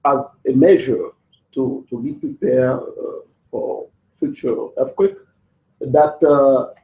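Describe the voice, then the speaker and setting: A man speaks slowly and haltingly, often pausing and starting over. His voice sits fairly high but sounds dark and mellow. The sound is roomy and a little dull. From Haiti. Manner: halting, many fillers and restarts. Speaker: panel participant. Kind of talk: hesitant conversational English. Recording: roomy, slightly dull audio.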